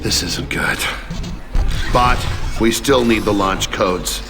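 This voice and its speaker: A man playing a gruff, commanding squad lieutenant in a video game cutscene. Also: lowish voice, takes it slowly, over music. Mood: grave, hushed.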